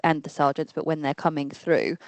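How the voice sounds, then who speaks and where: Woman, British accent, low pitch, dry sound, board meeting answers, fillers and restarts. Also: flat delivery, brisk, dry recording. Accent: British English. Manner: plain-spoken, with frequent fillers and self-corrections. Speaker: speech and language therapist.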